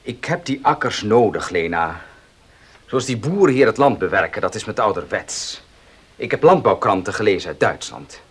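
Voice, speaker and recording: An actor in a Dutch radio play playing a proud, domineering farmer and husband who speaks emphatically. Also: male voice, lowish voice, sing-song, moderate pace, a bit bright, slightly rough, full character voice. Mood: firm, insistent. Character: proud, domineering gentleman farmer, loving but stubborn husband.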